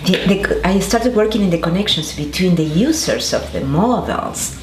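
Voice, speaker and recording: Argentine-born woman scientist, low voice, echoey room. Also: lively intonation, moderate pace, darkish tone. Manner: thoughtful, many restarts and fillers. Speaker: interviewee, scientist. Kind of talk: conversational interview answers. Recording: echoey room with faint noise.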